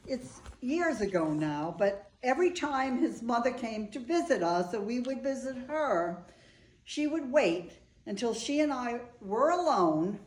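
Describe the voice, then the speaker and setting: A woman's voice: fairly high pitch, a little mellow. A woman reading the part of an older bride in a staged play, wry and fondly reminiscing. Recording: roomy, echoing live room recording.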